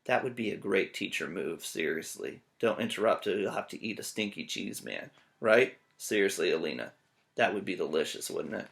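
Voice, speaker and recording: A man reading a children's story aloud as a teacher, expressive and full of playful joking asides. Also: sits low, moderate pace, vocal fry.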